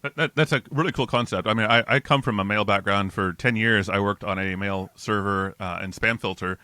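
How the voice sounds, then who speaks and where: A chatty man in his forties hosts a relaxed interview, his voice bright and forward on a close studio mic. The sound is dry, with no room around it. He talks upbeat, often restarting his sentences. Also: lowish voice, fairly quick, dry recording. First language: not Dutch. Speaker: host. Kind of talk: casual interview and code review. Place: home office with bookshelves, indoors. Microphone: large studio mic and headphones, close.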